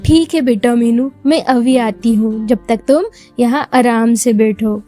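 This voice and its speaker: Patient mother of a poor family, acted by a woman: high, gentle, resigned, storybook drama over music. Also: a little slow, lightly acted. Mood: reassuring. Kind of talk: acted children's moral story. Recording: music behind the voice.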